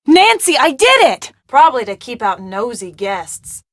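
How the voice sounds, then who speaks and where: Casting: young American female adventure-game sidekick, peppy and wry, fairly high voice, dry studio sound. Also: measured pace, no room sound, full character voice. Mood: exasperated, urgent. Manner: expressive, colloquial, wry asides. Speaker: video game voice actor. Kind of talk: scripted adventure game dialogue.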